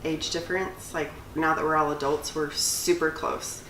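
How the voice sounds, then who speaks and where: A woman with a notably low voice for her sex, in her late thirties, talks in a rambling way full of "um" and "like" as she co-hosts a casual vlog. She is recorded at a distance in an echoey room with faint room noise. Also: level tone, normal speed, fairly bright tone. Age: 30s. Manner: rambling, filler-heavy. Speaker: cosmetologist and instructor, channel co-host. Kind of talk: casual chat.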